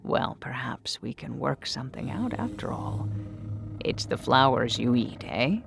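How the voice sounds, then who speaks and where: A woman voicing a gaunt older male flower-shop keeper in audio fiction, creepy and eager, speaking softly and breathily in a coaxing, soothing way. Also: very deep voice, very animated, background noise, full character voice. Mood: excited, coaxing. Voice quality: breathy, whispery. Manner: slow, soft, coaxing.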